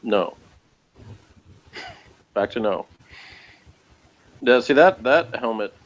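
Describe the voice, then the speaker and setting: A man's voice: medium pitch, lively intonation, slow pace, highs cut off. A man chatting informally as he co-hosts a TV review, talking in a hesitant, rambling way. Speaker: co-host of a TV review show. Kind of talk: casual review chat.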